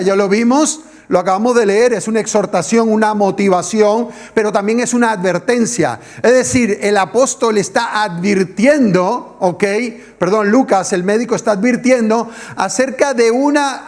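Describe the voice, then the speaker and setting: Canary islands pastor guy, middle-aged, pretty high voice for a man, earnest preaching, keeps asking the crowd questions. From Canary Islands, raised in Venezuela. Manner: earnest, fires rhetorical questions at the congregation. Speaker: guest pastor. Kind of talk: evangelistic sermon.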